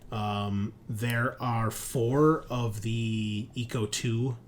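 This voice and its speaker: A man's voice: mid-range voice, fairly even intonation, drawn-out words, slight background noise. A man casually narrating and explaining a tabletop game as game master, in an informal way.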